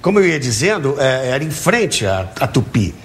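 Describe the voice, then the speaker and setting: A man's voice: mid-range voice, very animated, normal speed, fairly bright tone. A man, eagerly telling anecdotes as an interview guest, repeating words and asking rhetorical questions. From Brazil, Rio de Janeiro. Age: early 70s. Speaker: talk show guest, author of a book on radio theatre. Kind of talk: animated anecdotal storytelling in an interview. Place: TV talk show studio with audience.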